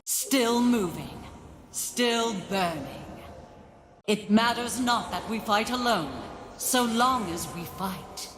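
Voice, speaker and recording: A woman's voice: fairly high pitch, animated, slow, fairly bright tone, a little hiss or hum. A woman playing a proud, resolute warrior goddess of the sun in a fantasy game, declaiming with command. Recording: echoing hall reverb over music.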